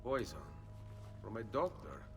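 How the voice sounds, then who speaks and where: A calm young adventurer. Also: male voice, fairly low pitch, unhurried, music in the background. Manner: brief, calm replies. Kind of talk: video game dialogue.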